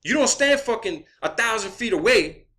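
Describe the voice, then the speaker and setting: A man's voice: high-pitched, lively intonation, moderate pace, sharp tone. A man delivering an unscripted, profane rant in a blunt, emphatic manner, often repeating phrases for emphasis, as an online video creator.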